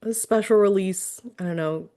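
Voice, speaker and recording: A woman's voice: mid-range voice, moderate pace, dark tone. A woman hosting an interview, asking questions and chatting in a casual, conversational style. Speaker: interviewer, podcast host.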